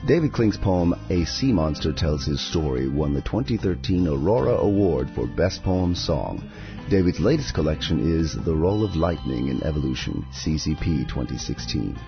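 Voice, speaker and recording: A man hosting a poetry podcast, reading scripted material in a measured, deliberate way with a husky voice. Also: extremely low pitch, expressive, lo-fi sound, music in the background. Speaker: podcast host and poetry reader.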